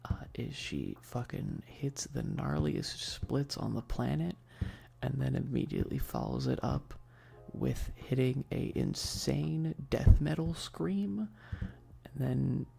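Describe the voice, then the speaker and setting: A man chatting casually on an ASMR game stream in a soft voice that often slips toward a whisper, laid-back and sweary with slangy, hesitant enthusiasm. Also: mid-range voice, creaky, husky. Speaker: streamer hosting a live stream. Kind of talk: casual rambling ASMR stream chat about games.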